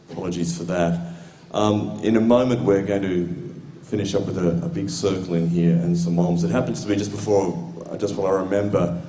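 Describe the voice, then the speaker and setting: Deep mellow moderator in an echoey room. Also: male voice. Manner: hesitant, lots of fillers and restarts. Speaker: panel moderator. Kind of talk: loose, rambling event hosting. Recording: echoey room with faint ambience.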